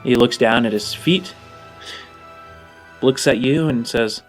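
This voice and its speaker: Halting male game master over soft music. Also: medium pitch. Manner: halting, descriptive. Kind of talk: improvised tabletop game narration.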